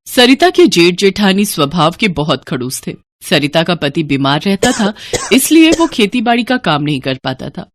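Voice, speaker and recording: A woman's voice: fairly low pitch, very animated, normal speed. A woman storyteller reading a scripted tale.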